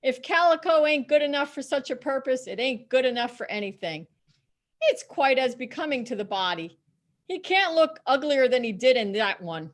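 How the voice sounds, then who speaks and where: A brazen Victorian charwoman played by a woman in a storybook reading. Her voice sits fairly high, and she is scornful, emphatic and entirely unrepentant. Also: lively intonation, moderate pace. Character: bold, defiant, unrepentant Victorian charwoman selling stolen goods. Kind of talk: dramatic reading of a classic story.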